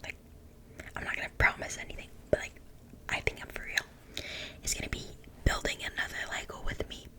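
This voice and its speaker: A woman's voice: brisk, pauses often. Whispering woman with a French accent. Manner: soft, stumbles over words and apologizes. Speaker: ASMR creator. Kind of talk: whispered ASMR book reading.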